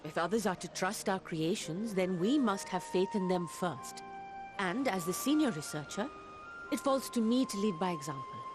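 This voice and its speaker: An actress playing a resolute, selfless senior alchemist in a fantasy game, speaking formally and with measured duty. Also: female voice, mid-range voice, close to an everyday voice. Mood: solemn, determined. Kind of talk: dramatic fantasy game cutscene acting.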